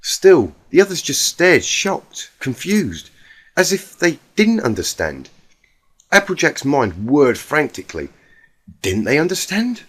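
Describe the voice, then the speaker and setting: A man's voice: fairly high pitch, huge pitch swings, slow pace, breathy edge. A man reading a scripted story aloud as a narrator, in a dramatic storytelling style. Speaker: fan fiction reader.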